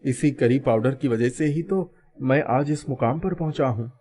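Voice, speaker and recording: A man's voice: medium pitch, moderate pace, mellow, lightly acted. A man voicing a kind-hearted restaurant owner in theatrical, earnest storybook dialogue. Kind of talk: animated storybook dialogue in Hindi.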